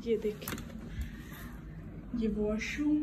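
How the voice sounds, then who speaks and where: A woman's voice: on the high side, slow pace. A young woman, chatting straight to viewers about food in a casual vlog. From Pakistan. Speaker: food vlogger. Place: busy restaurant and city street. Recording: loud crowd and street noise.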